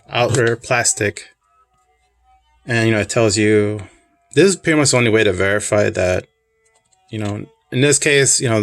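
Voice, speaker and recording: Soft-spoken man with a low, gravelly voice. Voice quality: calming voice. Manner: soft-spoken, rambling with fillers. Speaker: collectible reviewer. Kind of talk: casual product review. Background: classical music.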